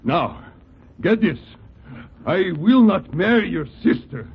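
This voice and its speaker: Slow, commanding young foreign king, tinny recording. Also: high voice, sing-song, vocal fry, husky, exaggerated voice. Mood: stern, commanding. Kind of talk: 1940s radio acting. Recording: tinny, noisy 1940s radio recording.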